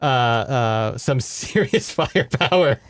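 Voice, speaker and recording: A man with a smooth, middle-pitched voice recaps a book as a podcast host. He talks informally and wanders through the story, swearing casually along the way. The sound is very dry, with no room around him. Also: lively intonation, takes it slowly, no echo.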